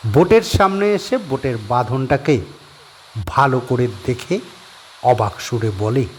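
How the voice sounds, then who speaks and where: A man narrating a horror audio story with expressive, suspenseful storytelling. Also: mid-range voice, measured pace, a little hiss or hum. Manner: expressive storytelling with rising and falling tension.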